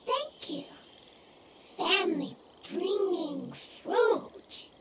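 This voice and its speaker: A woman voicing a small, simple-minded creature spirit in a video game, earnest, speaking in halting broken fragments. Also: extremely high pitch, animated, heavy background noise, heavily acted. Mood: grateful. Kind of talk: video game character acting. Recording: music behind the voice.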